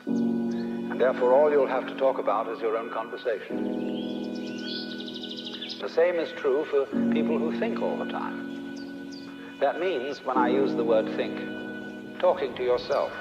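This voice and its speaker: Echoey man lecturing under loud music. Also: medium pitch, lo-fi sound. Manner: deliberate, explanatory. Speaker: philosophy lecturer. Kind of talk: philosophical lecture.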